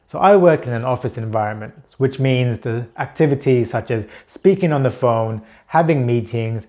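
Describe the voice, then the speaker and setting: A man's voice: mid-range voice, darkish tone. A young man hosting a personal vlog, talking earnestly about his week, with repeated words and occasional blocks from a stutter. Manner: reflective and earnest. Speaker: channel host.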